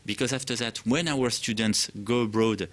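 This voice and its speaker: A man with a French accent giving interview answers as a program director in English that is not his first language, halting as he searches for words. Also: medium pitch, fairly bright tone.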